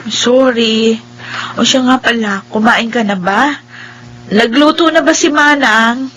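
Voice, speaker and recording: A Filipino woman acting in a radio drama as a blind young wife, gentle, affectionate and apologetic. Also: fairly high pitch, slow, slight background noise, low-quality recording. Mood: anxious, tender.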